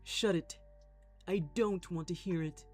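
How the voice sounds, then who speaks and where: A man performs a commanding yandere bad boy in a dramatic audio roleplay. He is foul-mouthed, possessive and aggressive, drawing his words out slowly. Music plays behind him. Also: high voice. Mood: angry, protective.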